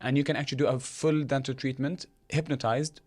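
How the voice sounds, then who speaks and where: Guy co-hosting a chat show, rattles off stories super quick, lots of restarts. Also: medium pitch, fairly even intonation, a little mellow. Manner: rambling, storytelling with restarts. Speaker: co-host. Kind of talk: casual chat.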